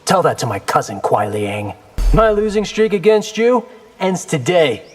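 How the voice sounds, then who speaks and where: A wry and defiant young ninja warrior from a fighting game. His fairly high, animated voice sounds earnest, with faint music behind it. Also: unhurried, slightly rough, full character voice. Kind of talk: video game voice acting.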